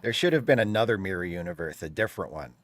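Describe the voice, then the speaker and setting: A man's voice: low voice, expressive, quick pace, a little hiss or hum. A man on a panel show chatting casually, riffing in a wry, joking way. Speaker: panel guest on live show.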